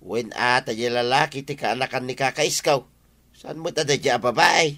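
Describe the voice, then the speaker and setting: A man's voice: mid-range voice, normal speed, fairly bright tone, lightly acted. An actor playing a nagging, suspicious village man in an Ilocano radio comedy drama, with an Ilocano accent.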